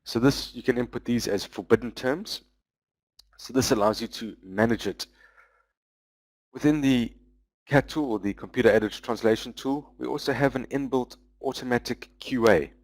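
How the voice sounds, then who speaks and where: A man giving a webinar presentation, walking through software features in a loose, explanatory way with frequent pauses. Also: medium pitch, dry recording, poor audio. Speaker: business development manager presenting a webinar.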